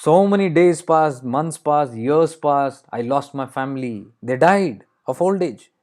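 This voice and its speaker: A man's voice: a little high, expressive, measured pace, a little mellow. A man voicing an ancient immortal crow in an oral story, lonely and suffering, pleading insistently and repeating himself. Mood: anguished lament. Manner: insistent, lamenting, repeats phrases.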